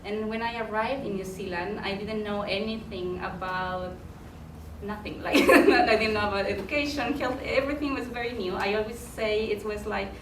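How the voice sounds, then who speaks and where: A woman's voice: medium pitch, moderate pace. A woman from Chile introducing herself as guest speaker at a community workshop, earnest and friendly with some fillers. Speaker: guest speaker, education advisor. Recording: roomy, distant, noisy room.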